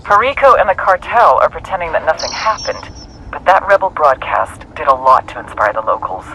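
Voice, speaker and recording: An actor playing a wry, businesslike CIA handler with an American accent, talking over a radio in a video game. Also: male voice, fairly high pitch, sing-song, brisk, bright, forceful tone, slight background noise, heavily acted, low-quality recording. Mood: brisk. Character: brisk, sardonic CIA handler.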